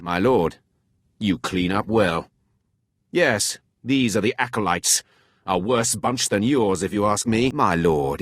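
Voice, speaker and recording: An actor playing a cruel, contemptuous male overseer of a dark academy in a video game, sneering and sarcastic in an imperious way. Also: on the low side, slightly rough, no echo, studio quality. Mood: sardonic deference. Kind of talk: scripted video game villain dialogue.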